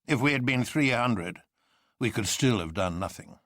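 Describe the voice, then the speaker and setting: A man's voice: mid-range voice, expressive, moderate pace, fairly bright tone, no room sound, lightly acted. Storybook professor male reader acting: a young man in disguise, grim, dispassionate, sober, with a close dry sound. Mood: sober, resigned. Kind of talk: dramatic storybook reading.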